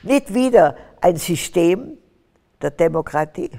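Fervent older woman, gravelly. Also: fairly low pitch, sing-song, slow, dark tone. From Austria. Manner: fervent, preaching, rising to emphatic bursts. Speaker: guest. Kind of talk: testimony interview on stage. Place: stage with curtains, large hall. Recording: reverberant hall sound.

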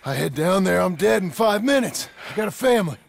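A man with an American accent playing a loyal, desperate younger outlaw in a western game, urgent and pleading with a gravelly voice. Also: high-pitched, lively intonation, fairly quick, soft background music, exaggerated voice. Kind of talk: cinematic western game acting.

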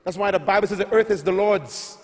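A man's voice: high-pitched, fairly quick. Middle-aged Bahamian man, emphatic preacher, handheld mic in a big reverberant arena. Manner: emphatic, repetitive teaching with rising calls. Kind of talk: sermon teaching. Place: large arena stage before a big audience. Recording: large hall with long reverb.

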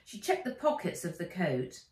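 A middle-aged woman, an author reading aloud from a children's storybook in an expressive narrating style. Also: fairly low pitch, normal speed. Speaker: author reading own book. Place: living room or sunroom, indoors. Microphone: camera or phone a few feet away. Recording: roomy, distant home recording.

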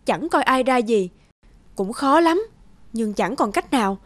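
A woman voicing a sympathetic older village woman in an animated folk tale, speaking in a pitying, cautious manner. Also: a little high, unhurried, no echo. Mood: worried, pitying.

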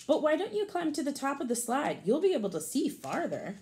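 A woman's voice: sits high, very animated, a little fast, warm tone, pitched above the performer's own voice. A woman voicing a helpful, friendly babysitter girl from a children's storybook. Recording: home recording with clear background noise.

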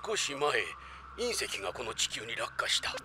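A man's voice: medium pitch, sing-song, normal speed, close to an everyday voice. A man plays an elderly scientist in a cartoon, worried and explanatory. His intonation rises and falls freely, with an old man's turns of phrase, over slight background noise. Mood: grave. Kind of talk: anime voice acting.

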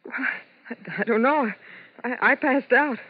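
A woman's voice: on the high side, a little slow, husky, dry recording. An actress playing a frightened, anxious young Las Vegas showgirl in a 1950s radio drama, with dramatic delivery. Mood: distraught. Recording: muffled old 1950s radio recording.

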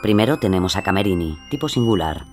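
A man's voice: sits low, lively intonation, quick pace, a little mellow. A man narrating a true-crime story from a script. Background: music.

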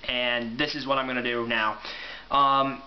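Male, 20s, casual amateur vlogger, mid-pitched, dull camera audio with some noise. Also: flat delivery, a little slow. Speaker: amateur book reviewer. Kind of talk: casual vlog review with read-aloud passages. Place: small room at home, indoors.

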